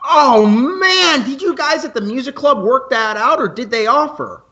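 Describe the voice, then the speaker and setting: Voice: sits high, animated, unhurried, bright, forceful tone, muffled recording, heavily acted. An actor playing a wisecracking, teasing teenage student radio co-host in an amateur radio play, with playful mock-formality.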